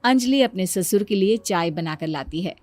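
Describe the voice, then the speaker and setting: A woman's voice: mid-range voice, animated, moderate pace, darkish tone, dry recording, soft background music. Woman narrating a moral story.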